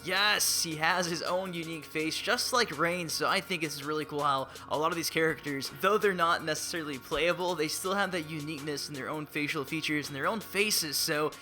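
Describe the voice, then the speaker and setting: A young man talks over gameplay with excitable, hype-driven energy, a little raspy from a voice still on the mend. His voice sits slightly high and rings bright and forceful. Light music plays behind him. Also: a little fast, few pauses. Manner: excitable, hype-driven, laughs and blurts out reactions. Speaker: gaming YouTuber. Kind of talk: casual gaming commentary.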